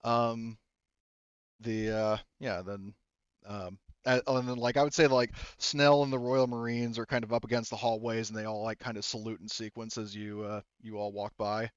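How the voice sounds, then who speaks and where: Halting, casual man running a tabletop game. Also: on the low side, little pitch movement, clear, resonant tone, no echo, lo-fi sound. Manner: halting, conversational, casually profane. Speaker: game master. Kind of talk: casual improvised game narration.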